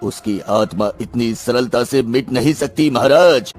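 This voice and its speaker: Wise court sage voiced by a man: male, solemn, deliberate counsel, middle register, soft music behind. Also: mid-range voice, close to an everyday voice. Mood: solemn, ominous. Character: grave, wise royal sage and healer, male. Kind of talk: Hindi animated bedtime story acting.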